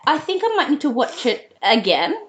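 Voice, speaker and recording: A woman co-hosting a podcast, telling about shows in an informal, slightly halting way. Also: high-pitched, very animated. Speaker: podcast co-host. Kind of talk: casual chat, recounting a TV show.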